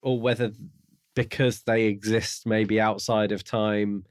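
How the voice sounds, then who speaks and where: Low-pitched man, casual podcast co-host. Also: little pitch movement, unhurried, clear, resonant tone, dry recording, studio quality. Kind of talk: casual book discussion.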